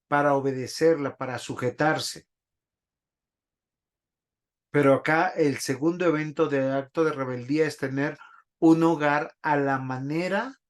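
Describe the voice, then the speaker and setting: A man teaching a Bible class in a church, preaching and putting questions to the congregation. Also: medium pitch. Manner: didactic, asks the congregation questions.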